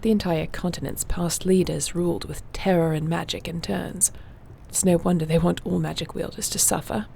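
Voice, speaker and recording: A woman playing a gentle, wry warrior shifter with healing magic in an intimate fantasy audio roleplay, speaking softly and soothingly without hurry. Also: fairly low pitch, steady tone, normal speed, vocal fry, slight background noise. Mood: grave, explanatory.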